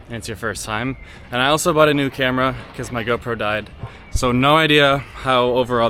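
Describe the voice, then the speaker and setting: A man's voice: mid-range voice, bright, forceful tone. An American man chatting casually and unscripted to the camera as a travel vlogger, informal and rambling, full of slang. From United States. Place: outdoors walking through a city. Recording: clearly noisy outdoor city street with traffic.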